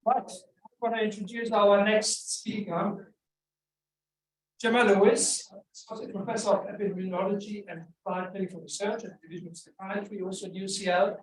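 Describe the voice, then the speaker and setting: A man chairing an academic session, making brisk announcements and introducing the next speaker. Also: sits high, steady tone. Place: lecture hall. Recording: echoey hall from far off.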